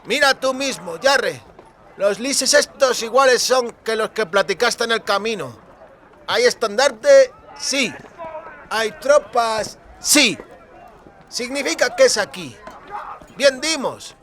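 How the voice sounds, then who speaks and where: A man's voice: extremely high pitch, lively intonation, slow, a bit bright, pitched above the performer's own voice, heavily acted. A man voicing a drunken, boisterous, friendly young cooper with rustic, exclamatory speech for an audiobook. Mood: eager, friendly. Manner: exclamatory, colloquial rustic speech with clipped words.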